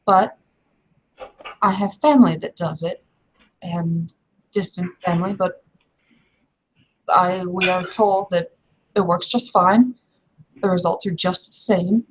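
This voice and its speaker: A woman playing a proud, blunt young werewolf shield maiden in a tabletop game, with a husky voice and frank, halting phrasing. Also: lowish voice, fairly even intonation, poor audio. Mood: blunt, let down. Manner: frank, hesitant phrasing but unyielding.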